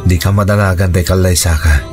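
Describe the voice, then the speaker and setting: A man's voice: deep voice, fairly even intonation, a little fast, soft background music, lightly acted. A man playing an earnest, reassuring widowed father who is courting, in a radio drama. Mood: earnest, tender. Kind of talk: Ilocano radio drama acting.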